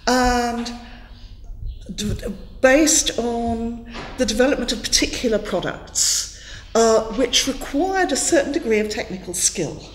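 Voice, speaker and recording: An older woman from Wales, a native Welsh speaker, giving an academic lecture in a classroom on a headset mic, thoughtful, with many fillers and restarts. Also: on the high side. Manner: thoughtful, many fillers and restarts, gestures a lot with both hands. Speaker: guest lecturer. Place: classroom in front of a chalkboard. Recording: echoey room with long reverb.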